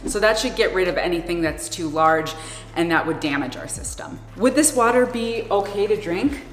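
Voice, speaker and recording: A woman in her thirties with a fairly low voice explains things casually, like a vlogger talking to camera. The room rings with long echo, and music plays behind her. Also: expressive, normal speed. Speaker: vlogger explaining a camper van build. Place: indoor garage workshop beside a van.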